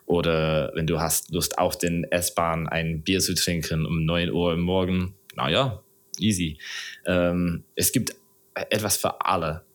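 Podcast guest: low-pitched man, English accent, non-native, casual and halting. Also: steady tone. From England. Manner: halting, non-native phrasing with frequent searching for words. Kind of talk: casual interview chat.

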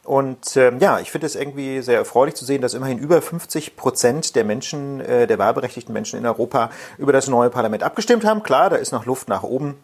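A man from Berlin with a somewhat husky voice at a middle pitch explains election figures step by step. He hesitates often with fillers, in the easy manner of a podcast co-host. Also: lively intonation, fast, hardly any pauses. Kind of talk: casual political podcast talk.

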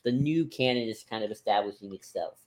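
A man's voice: on the low side, fairly quick, a little mellow, rough recording. A man co-hosting a podcast in casual chat, informal and stop-start, often hedging and slipping into asides. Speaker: podcast co-host. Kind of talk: casual chat, discussing book picks.

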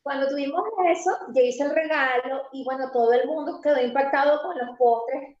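High-voiced animated woman in a roomy space. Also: slight background noise. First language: Spanish. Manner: animated and emphatic, stressing points slowly, laughs easily. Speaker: interview guest, pastry entrepreneur. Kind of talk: casual conversational interview. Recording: roomy, slightly dull sound.

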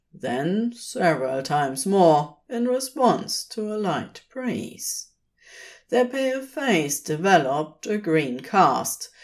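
A woman reading a scripted fantasy story aloud as its narrator. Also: lowish voice. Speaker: podfic narrator.

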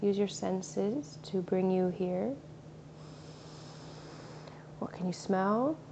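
A woman guiding a meditation in a slow, soothing, soft-spoken way. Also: medium pitch, vocal fry. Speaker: meditation guide. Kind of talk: guided meditation. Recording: slightly dull recording with faint ambient noise.

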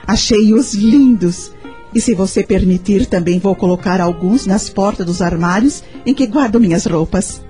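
Woman acting a mellow, gentle Brazilian wife. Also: medium pitch, measured pace, lightly acted. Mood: gentle, affectionate. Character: gentle, loving, pregnant wife and mother. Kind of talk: Brazilian radio soap opera acting. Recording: music under the voice.

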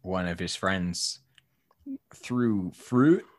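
Brief: young male co-host with a very low, deep voice, loose and jokey, recorded dry and close. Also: very slow, no echo. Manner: laughs often, relaxed and joking. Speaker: podcast co-host. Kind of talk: casual chat.

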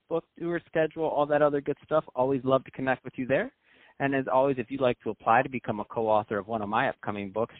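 A man's voice: medium pitch, dry recording. A man hosting a business podcast, introducing the show and guest in an enthusiastic, promotional way. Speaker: podcast host. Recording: dry, phone-like sound.

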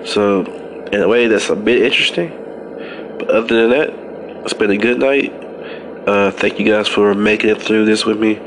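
A man's voice: lowish voice, moderate pace, pauses often, noisy background. A man with a stuffy nose hosting a casual wrestling podcast, rambling with frequent restarts and fillers. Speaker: podcast host.

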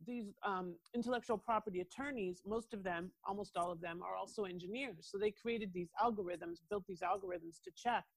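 A woman's voice: medium pitch, brisk, few pauses, no room sound, rough recording. A woman with a Canadian accent speaking as an expert guest on a podcast, explaining her work in an assured way and addressing the listener directly. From Toronto, Canada. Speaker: guest, international lawyer and mobility strategist.

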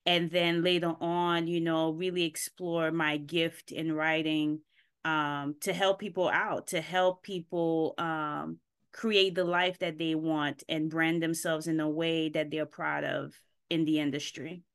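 Female guest raised in Haiti, smooth lower voice, pauses to think mid-sentence. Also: level tone. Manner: thoughtful, searching, many ums. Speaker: podcast guest. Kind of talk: casual interview answers. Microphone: video call.

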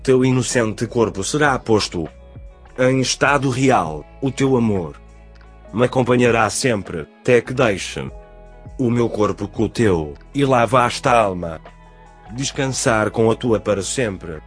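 A man reading the part of a grieving young prince mourning his murdered lady, in a solemn, measured way. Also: medium pitch, animated, faint music behind, close to an everyday voice. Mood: mournful.

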